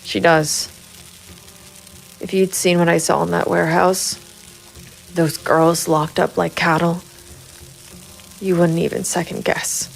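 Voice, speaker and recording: An actress playing a hard-boiled, brooding and quietly determined female private investigator in a gritty noir audio drama. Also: on the low side, flat delivery, slow pace, gravelly, long pauses, faint music behind, rough recording. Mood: cold, resolute. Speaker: voice actor narrating and playing the lead of an audio drama. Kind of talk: gritty noir audio drama acting and first-person narration.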